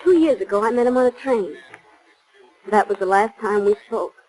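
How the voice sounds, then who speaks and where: A woman's voice: mid-range voice, expressive, normal speed, darkish tone, close to an everyday voice, rough recording. Actress as guarded, subdued working woman. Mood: guarded, somber. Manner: reserved, terse answers. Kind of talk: 1940s radio acting. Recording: remastered 1940s radio recording.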